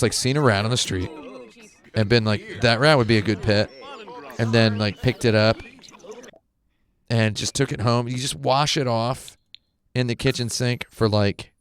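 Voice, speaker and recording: Deadpan joking man in his thirties. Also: medium pitch, normal speed, long pauses. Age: 30s. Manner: deadpan absurd humor. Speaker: co-host of a game stream. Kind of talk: casual joking chat while playing. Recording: music playing behind.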